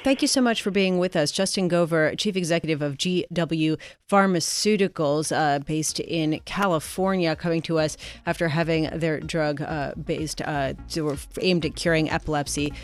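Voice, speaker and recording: A woman hosting a business interview show in a studio, asking deliberate, measured questions. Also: low-pitched, dry recording, soft background music. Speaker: radio business show host. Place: broadcast studio.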